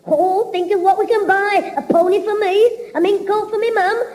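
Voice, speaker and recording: A man's voice: extremely high pitch, fairly even intonation, a little mellow. A man playing a cheeky, wisecracking young schoolboy in a 1960s radio sitcom. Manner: cheeky, wisecracking, quick retorts. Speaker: child character in radio comedy.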